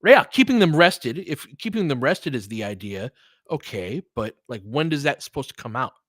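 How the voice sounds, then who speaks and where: A man's voice: mid-range voice, big pitch swings, moderate pace, a bit bright, no echo. A middle-aged man doing casual live basketball play-by-play, conversational and opinionated, chatting to listeners and reacting aloud to the action. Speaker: online basketball play-by-play host. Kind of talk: casual live play-by-play commentary mixed with chat.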